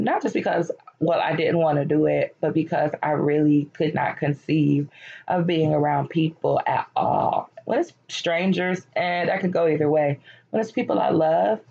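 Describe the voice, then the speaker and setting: A woman in her late thirties hosting a podcast, talking candidly and encouragingly about her life, her voice hoarse and cracking as she loses it. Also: deep voice, dark tone. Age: late 30s. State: losing her voice, slightly cracking. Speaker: podcast host. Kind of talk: personal reflective monologue. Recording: lo-fi, dull recording.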